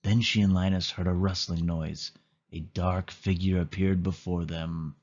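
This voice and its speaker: A man's voice: low voice, level tone, unhurried, darkish tone. Male storybook narrator, slightly dull audio. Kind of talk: children's read-aloud scripted narration.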